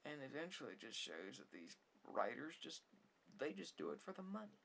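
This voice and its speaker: A man giving a rambling ASMR opinion talk with a husky, gravelly voice, halting and emphatic, often repeating and rephrasing himself. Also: fairly high pitch, very animated, fairly bright tone. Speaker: ASMR video creator.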